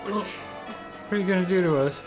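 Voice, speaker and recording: A man's voice: high-pitched, brisk, loud music behind the voice, natural voice. A man playing a timid, bumbling starship science officer in a comic radio play, hesitant and unsure of himself. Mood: alarmed.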